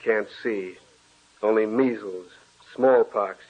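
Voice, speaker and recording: Husky, haunted, obsessed man. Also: lowish voice. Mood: grim obsession. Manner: intense, halting, repeats words feverishly. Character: haunted, obsessed, sleepless man losing his mind. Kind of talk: 1940s radio horror acting. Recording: muffled old radio recording.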